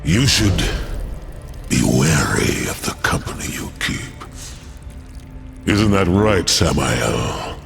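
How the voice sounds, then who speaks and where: A man's voice: rumbling low, sing-song, takes it slowly, gravelly, long pauses, full character voice. A man playing an arrogant demon warlord in a video game cutscene, boastful, theatrical and menacing. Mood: sly taunting. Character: huge, arrogant, taunting male demon warlord. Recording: loud music under the voice.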